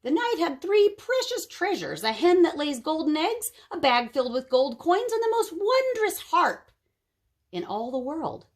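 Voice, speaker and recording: A woman's voice: very high-pitched, very animated, a little slow, voice pushed up, heavily acted. A woman voicing a kindly, grave old woman in a storybook, speaking in a solemn, dramatic way. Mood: earnest.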